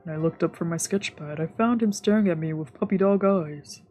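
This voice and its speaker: Low, mellow woman reading aloud. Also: brisk. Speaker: streamer reading a visual novel aloud. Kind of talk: narration read aloud, let's play. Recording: soft game music behind.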